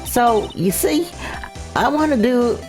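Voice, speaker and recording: A woman voicing a brash, folksy, scheming Southern photographer with a Southern US accent and a rambling, halting delivery in a voiced visual novel playthrough. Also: on the high side, lively intonation, darkish tone. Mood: sheepish. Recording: faint game music behind. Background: video game music.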